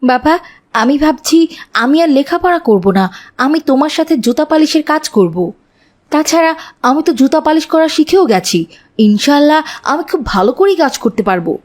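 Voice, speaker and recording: A woman's voice: high voice, lively intonation, a little fast, darkish tone. A woman playing an earnest, dutiful young schoolboy in a moral cartoon, explaining things plaintively. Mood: resolute. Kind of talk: Islamic moral cartoon dialogue.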